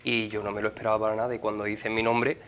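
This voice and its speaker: A man, an actor interviewed in a TV studio, talking quickly with nervous laughs and stumbles. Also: on the low side, level tone, fairly bright tone. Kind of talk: TV interview answers.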